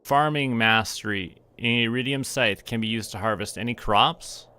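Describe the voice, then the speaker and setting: A man's voice: medium pitch, moderate pace, pushed and bright, clear, resonant tone. A man, playfully thinking aloud and narrating what he does as he streams a game. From Canada. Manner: playful, narrates own actions and reads game text aloud.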